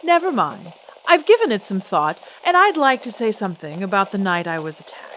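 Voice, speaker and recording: A woman's voice: medium pitch, sing-song, brisk, very noisy, close to an everyday voice. A woman playing a poised, defiant celebrity singer in an old-time radio drama, speaking with composed, pointed, genteel diction. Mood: evasive, resolute.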